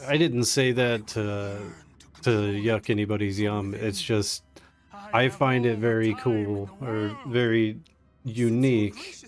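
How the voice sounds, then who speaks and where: A man chatting casually and jokingly, with some self-deprecation, as he plays a game on a live stream, with game music behind him. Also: on the low side. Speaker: speedrunner playing live.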